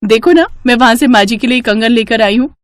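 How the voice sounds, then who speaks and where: A woman's voice: on the high side, a little fast, no echo, voice pushed up, lightly acted. A woman voicing a polite, deferential young daughter-in-law in a cartoon story. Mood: explaining. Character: polite, hard-working young daughter-in-law, secretly a police inspector. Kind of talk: Hindi cartoon moral story.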